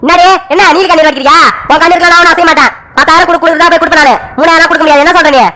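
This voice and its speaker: A woman voicing a brusque husband who talks fast and calls his wife "di" in a family skit. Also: extremely high pitch, steady tone, pushed and bright. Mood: taunting. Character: irritable husband, gruff then soothing.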